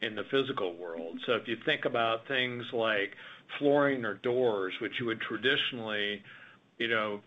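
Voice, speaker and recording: A man, a company executive, answering analysts on an earnings call in a relaxed, conversational way. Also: fairly low pitch. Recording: dull conference line.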